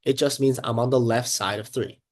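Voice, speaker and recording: Man, congested and sniffly, mid-pitched voice, upbeat teacher walking students through math, chatty, keeps asking "right?". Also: fairly even intonation, brisk. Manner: upbeat, chatty, checks in often with "okay" and "right?". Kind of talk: casual math lesson at a whiteboard.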